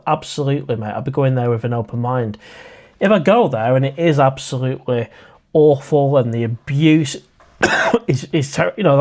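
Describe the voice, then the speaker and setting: Man, livestream host, casual friendly chat, reads viewer comments, mid-pitched voice. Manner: chatty and friendly, addresses viewers as mate.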